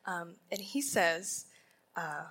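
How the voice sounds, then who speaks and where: Slow, bright-voiced woman preaching. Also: fairly low pitch, expressive, husky. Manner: deliberate, emphatic, asks rhetorical questions. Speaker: Bible teacher at a women's conference. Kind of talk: sermon. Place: conference ballroom.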